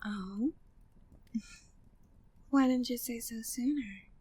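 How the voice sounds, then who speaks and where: High-voiced flirty, devoted maid played by a woman. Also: slow, dark tone. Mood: coaxing, flirty. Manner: formal, genteel phrasing, breathy sighs, teasing. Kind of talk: intimate ASMR roleplay, scripted monologue.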